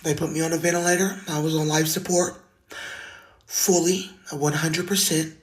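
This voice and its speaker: A woman with a raspy voice and a slight lisp, a singer telling her own story to a phone camera in an earnest, heartfelt way. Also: low-pitched, fairly even intonation, normal speed, low-quality recording. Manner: earnest, heartfelt, plain-spoken. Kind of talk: personal testimony to camera. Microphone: phone video.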